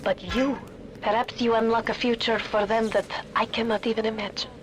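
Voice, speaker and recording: A woman voicing a stern, remorseful middle-aged German scientist in a video game, with a German accent and clipped, slightly broken English, over loud game music. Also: fairly high pitch, flat delivery, brisk, slight background noise, lightly acted, rough recording.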